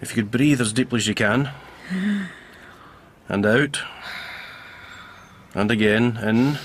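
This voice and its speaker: An actor playing an earnest, caring, easily exasperated doctor in a British period radio drama, admonishing yet hesitant. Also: male voice, on the low side, slow, slight background noise. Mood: grave concern.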